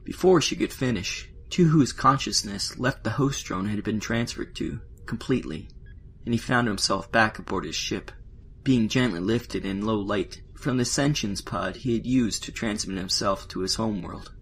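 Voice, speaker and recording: A man's voice: lowish voice, normal speed, fairly bright tone. A man reading scripted narration as the narrator of a science-fiction audio drama. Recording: faint background noise and ambience.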